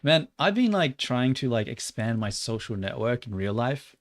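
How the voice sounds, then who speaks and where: A young Australian man livestreaming while he works, chatting loosely with lots of "like" and muttering curses at his software. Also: medium pitch, very animated, normal speed, no echo, clean studio sound. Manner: rambling and loose, heavy on "like", muttering and swearing at the software. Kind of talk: casual livestream chat while 3D modeling.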